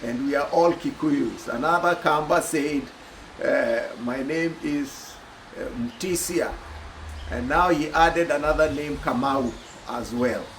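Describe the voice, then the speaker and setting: A middle-aged Kenyan man with a Kenyan accent giving thoughtful personal commentary, pausing often with "uh". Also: fairly high pitch, a bit bright, slight background noise, low-quality recording. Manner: thoughtful, reflective, many pauses with "uh".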